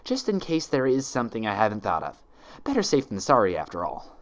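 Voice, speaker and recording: A man's voice: on the low side, wildly swooping pitch, fairly quick. A man voicing an earnest, bookish young female unicorn in a storybook, speaking carefully and with worry. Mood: uneasy.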